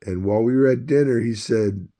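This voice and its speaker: A man who is losing his voice, telling a long personal story in a rambling, halting way with many fillers. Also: medium pitch, warm tone. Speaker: video host. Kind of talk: casual personal storytelling to camera.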